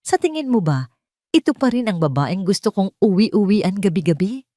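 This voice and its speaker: A woman voicing a cold, dismissive husband in an audio drama, speaking flatly and with contempt. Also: mid-range voice, moderate pace, no room sound, clean studio sound, natural voice. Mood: contemptuous. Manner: flat, emotionless, contemptuous. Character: cold, dismissive husband leaving his pregnant wife.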